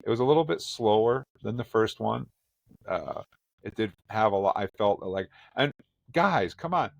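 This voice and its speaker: A man with a lowish voice talks in a loose, animated podcast chat, exclaiming and joking. The sound is close and dry, with no room around it. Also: normal speed, creaky, no echo, lo-fi sound. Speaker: podcast co-host. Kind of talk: casual review chat.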